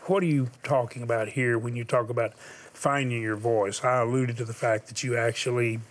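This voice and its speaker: A man's voice: mid-range voice, steady tone, a little fast. A man hosting a television talk show, interviewing his guest in an encouraging way and laughing along with her. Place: television studio with a live audience.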